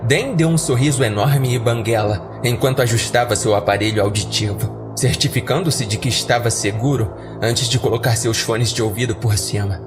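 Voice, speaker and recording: Narrador brasileiro de terror, música ao fundo. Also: male voice, mid-range voice. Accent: Brazilian. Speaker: horror podcast narrator. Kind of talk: scripted first-person horror story narration.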